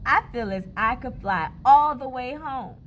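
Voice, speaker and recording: A woman's voice: high-pitched, lively intonation, measured pace, voice pushed up. Casting: woman as keen, determined storybook schoolgirl, swooping intonation, noticeable background noise. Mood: joyful, confident. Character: eager, determined young girl who loves make-believe. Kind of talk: storybook read-aloud character voice.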